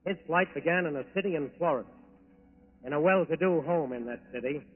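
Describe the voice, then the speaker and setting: A man's voice: on the high side, a little fast. An American man delivering scripted crime narration in 1940s radio style. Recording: narrow, reverberant 1940s radio broadcast recording.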